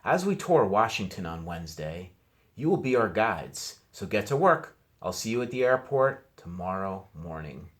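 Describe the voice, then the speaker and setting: A man voicing a brisk, instructive schoolteacher from a children's storybook, firm and announcing. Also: fairly low pitch, big pitch swings.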